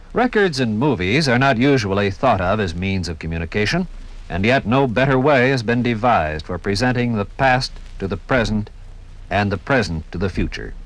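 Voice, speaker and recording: A man's voice: lowish voice, poor audio. An American man giving formal 1950s radio documentary narration in a solemn, oratorical manner.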